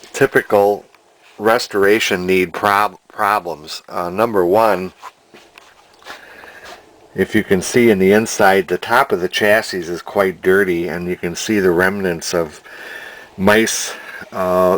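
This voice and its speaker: Low-voiced, folksy man. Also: steady tone. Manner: deliberate, folksy, plainspoken. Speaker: antique radio collector and restorer. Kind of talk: casual unscripted video narration.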